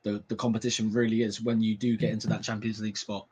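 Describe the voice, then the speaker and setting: A man co-commentating on a live futsal stream in a relaxed, conversational way. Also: fairly low pitch, husky. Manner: relaxed, conversational, a little halting.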